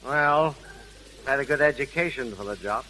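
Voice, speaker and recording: An actor playing a pushy, suspicious gang member in 1930s American radio drama. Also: male voice, medium pitch, normal speed, bright, forceful tone, natural voice. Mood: pushy, wary. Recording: crowded, noisy old 1930s radio recording.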